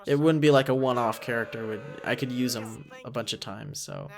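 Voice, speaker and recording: A man giving rambling, casual, self-critical commentary as the creator of an animated parody series. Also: medium pitch, brisk, vocal fry, soft background music. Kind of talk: casual unscripted director's commentary. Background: episode audio with cartoon voices playing under the talk.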